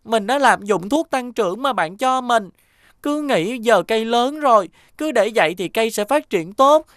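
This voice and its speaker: A woman's voice: on the high side, normal speed, no echo, clean studio sound, lightly acted. A woman voicing a playful, careless young boy who chatters eagerly in a dubbed cartoon. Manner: eager, excitable child's chatter. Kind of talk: cartoon dubbing.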